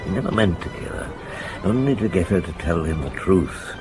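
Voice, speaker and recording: Deep-voiced, thoughtful police inspector. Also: male voice, lively intonation, music in the background. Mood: musing. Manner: measured, musing, deliberate. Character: middle-aged French police inspector, thoughtful, courteous, dogged. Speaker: actor playing a police inspector. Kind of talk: radio drama acting.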